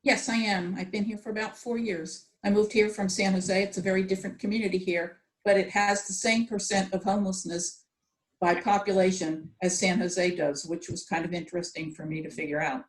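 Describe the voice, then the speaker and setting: A woman's voice: medium pitch, fairly even intonation, brisk. A woman making casual remarks as a local resident attending an online meeting. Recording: echoey room on online call.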